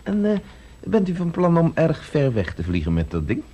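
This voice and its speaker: An actor playing a curious, politely doubtful reporter in a 1970s radio play. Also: male voice, a little high, huge pitch swings, normal speed, mellow, breathy edge, full character voice. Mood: skeptical. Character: inquisitive male newspaper reporter.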